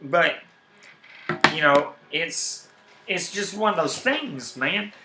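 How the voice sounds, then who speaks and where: A man's voice: on the high side, slow, echoey room, noisy background. Southern man ranting on a live stream. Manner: rambling, animated, repeats words when worked up. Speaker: YouTube streamer. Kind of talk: casual live-stream chat.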